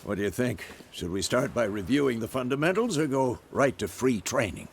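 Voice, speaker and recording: An actor playing a gruff, stern old male witcher mentor in a game cutscene. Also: medium pitch, a little fast, a little hiss or hum. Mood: lecturing. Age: older. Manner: stern, grumbling, lively delivery. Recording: game cutscene with loud music.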